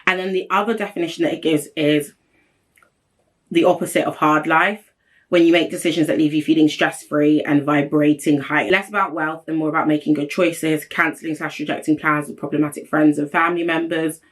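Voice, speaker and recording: A woman, hosting a solo vlog. She has a strong, deep voice and talks bluntly and emphatically, with a straight-talking manner. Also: fairly even intonation, brisk. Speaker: host. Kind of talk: solo opinion vlog talk.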